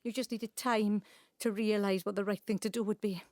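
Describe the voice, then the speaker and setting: A woman's voice: mid-range voice, fairly even intonation, moderate pace, no room sound, clean studio sound, close to an everyday voice. A middle-aged woman playing a bitter, wounded grown daughter in an emotional audio drama. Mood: bitter, hurt. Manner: emotional, swinging from quiet bitterness to cries. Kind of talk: naturalistic audio drama acting.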